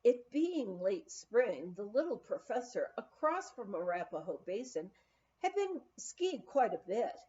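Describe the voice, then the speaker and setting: A woman reading a memoir aloud in an expressive storytelling style. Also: medium pitch, unhurried, highs cut off. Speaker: audiobook reader.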